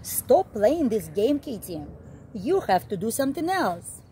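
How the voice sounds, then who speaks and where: A middle-aged woman reading a picture book aloud to young children in an expressive, deliberate way, recorded outdoors. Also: a little high, a little hiss or hum. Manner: expressive, deliberate read-aloud delivery with simple emphasized phrases. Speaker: early childhood storyteller. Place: outdoor backyard, seated on a garden seat.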